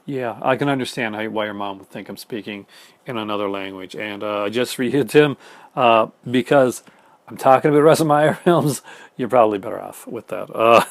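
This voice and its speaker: A Canadian man talking fast and casually to the camera at home, with many fillers and restarts. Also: fairly low pitch, dry recording. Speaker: film collector YouTuber. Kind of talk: casual chat reading viewer comments. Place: home room in front of film shelves.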